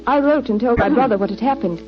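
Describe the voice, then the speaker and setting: A woman's voice: fairly high pitch, fast talker, natural voice. An actress playing a genteel, anxious young lady client, polite and earnest, in 1940s radio drama style. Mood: earnest, worried. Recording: dull 1940s radio, music behind.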